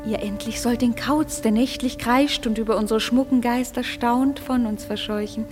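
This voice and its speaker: A woman's voice: fairly high pitch, fairly even intonation, moderate pace, over music, close to an everyday voice. An actress playing the regal fairy queen in a classic verse radio play, giving orders to her fairies with a gracious, commanding delivery.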